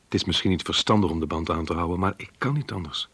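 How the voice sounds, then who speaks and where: A tender, resigned schoolteacher muses in a radio drama. The voice is deep and husky. He sounds wistful and reflective. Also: fast talker, fairly bright tone, exaggerated voice. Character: melancholy, resigned, tender schoolteacher secretly devoted to a girl.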